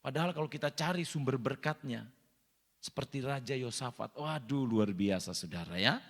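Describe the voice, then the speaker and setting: A man's voice: medium pitch, animated, moderate pace, vocal fry. A man preaching a sermon as pastor, speaking straight to the congregation, repeating his points and pushing certain words hard. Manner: repeats phrases, addresses congregation directly, emphatic rises. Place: church hall. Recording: long church-hall reverb.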